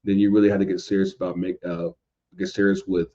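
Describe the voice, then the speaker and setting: A man's voice: deep voice, flat delivery, quick pace, warm tone. A man co-hosting a sports podcast, giving emphatic, opinionated takes in casual, sweary talk and often repeating words for stress. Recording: dull lo-fi sound.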